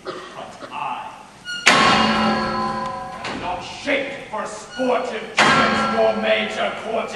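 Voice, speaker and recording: A young man on stage playing a bitter, deformed, scheming villain duke in declamatory Shakespearean style with deliberate pauses. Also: high-pitched. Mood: bitter, anguished. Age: young adult. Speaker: high school stage actor. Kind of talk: theatrical Shakespearean stage acting. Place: high school stage performance, recorded from afar. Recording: echoey theatre hall, distant microphone, faint noise.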